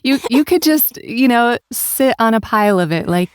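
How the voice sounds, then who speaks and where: A woman's voice: on the high side, lively intonation, moderate pace, dry recording, studio quality. A woman hosting a casual podcast interview, speaking thoughtfully and affirmingly as she searches for her words.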